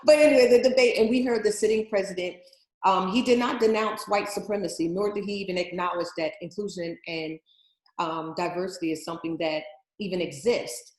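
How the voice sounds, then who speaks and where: A woman's voice: medium pitch, steady tone, a little fast. A woman hosting a casual interview, phrasing her questions hesitantly and tactfully. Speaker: interview host. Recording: roomy, echoing sound.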